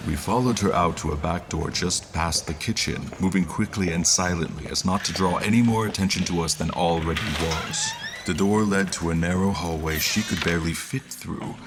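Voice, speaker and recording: A man's voice: sits low, normal speed, few pauses, background noise. A man playing a wry crew member who narrates a scripted fantasy audio drama in ornate, descriptive language. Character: male crew member narrating his memories, literary and wry. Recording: prominent music behind. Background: music.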